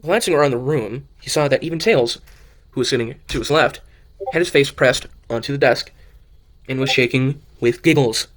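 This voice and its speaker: A man's voice: medium pitch, normal speed. A man narrating a fan audio drama as an amateur, often stumbling over and repeating words as he reads. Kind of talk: amateur story reading.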